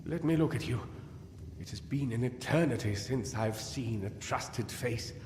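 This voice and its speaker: A man's voice: medium pitch, darkish tone, slight background noise, lightly acted. Man acting a haunted gnome scholar, echoing. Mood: astonished, shaken. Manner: theatrical, anguished, halting and musing. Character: weary, guilt-ridden, paranoid gnome scholar and scientist. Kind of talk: dramatic fantasy video game voice acting. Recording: echoey cavern-like reverb over music.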